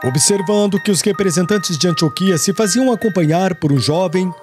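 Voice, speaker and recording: A man's voice: sits high, normal speed. A man narrating a religious audiobook in a solemn, formal storytelling style. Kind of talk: scripted literary religious narration. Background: glockenspiel-like music.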